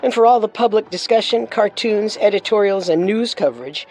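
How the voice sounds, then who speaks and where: A woman's voice: mid-range voice, a little fast, darkish tone, slight background noise, rough recording. A woman narrating a scripted true-crime story as host.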